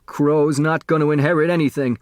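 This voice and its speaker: Man putting on a high, excitable, bitter old fellow. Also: a little fast, dry recording, close to an everyday voice. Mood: bitter, indignant. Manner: complaining, indignant.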